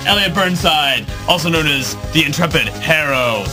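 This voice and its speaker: Guy with a high voice telling a dramatic superhero story, music playing behind him. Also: animated, moderate pace. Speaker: narrator. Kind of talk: melodramatic storytelling for amateur comic superhero drama.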